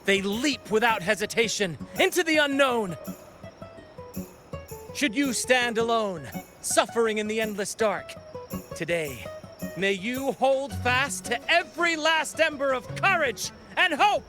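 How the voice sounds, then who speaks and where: An actor playing a fervent, prophet-like philosopher in a video game, declaiming poetic exhortations. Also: male voice, very high-pitched, expressive, measured pace, over music. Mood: fervent, exalting. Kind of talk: dramatic game monologue.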